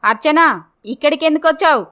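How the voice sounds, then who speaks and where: A woman's voice: high voice, animated, moderate pace, pushed and bright. A woman voicing a mean, scornful older mother-in-law in a story, sarcastic and dismissive.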